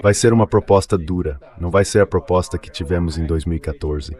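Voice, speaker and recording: Low-pitched synthetic male commentator. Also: a little fast, a little mellow. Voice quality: AI-generated dubbed voice. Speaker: political commentator guest. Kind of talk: geopolitical analysis in an interview.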